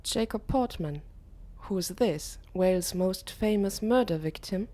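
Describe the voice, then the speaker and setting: A woman voicing a curious, uneasy teenage boy who narrates an audiobook in a measured, earnest way. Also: mid-range voice, dark tone, natural voice.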